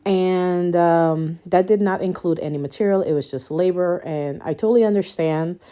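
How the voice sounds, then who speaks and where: Guyanese-accented woman, low mellow voice. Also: moderate pace, smooth. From Suriname, raised Guyanese. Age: 30s. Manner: rambling, many ums, laughs between thoughts. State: out of breath. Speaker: home renovation vlogger. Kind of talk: casual chat to camera.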